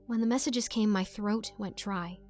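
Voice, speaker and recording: A woman's voice: medium pitch, steady tone, moderate pace, darkish tone, faint music behind. A woman reading a scripted first-person horror story as its narrator, in a dramatic style.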